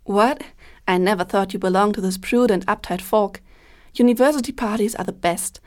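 A woman's voice: medium pitch, moderate pace, darkish tone. A woman in her twenties, a student guest on a scripted panel, talking in a careless, offhand way. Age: 20s.

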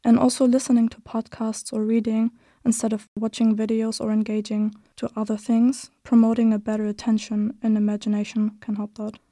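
Mellow, flat-toned young woman explaining. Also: fairly high pitch, fairly quick, no echo, studio quality. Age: 20s. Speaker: student co-host. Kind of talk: reading research findings on a podcast.

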